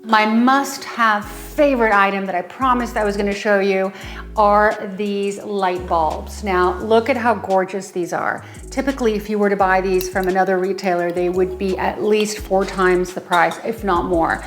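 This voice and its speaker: A woman talks excitedly through her favorite home finds, at a middling pitch, full of ums and little gushes of praise. Faint music plays softly behind her. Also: normal speed. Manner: enthusiastic, gushing, many ums. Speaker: home design vlogger. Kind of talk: casual product demo to camera. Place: her home, closet and kitchen.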